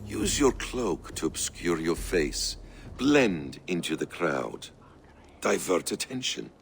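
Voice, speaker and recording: Deep-voiced secretive master assassin. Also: male voice, big pitch swings, creaky, slight background noise, full character voice. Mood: measured, secretive. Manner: measured, cryptic, didactic. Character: calm secretive male master assassin, mentoring. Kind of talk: video game dramatic acting.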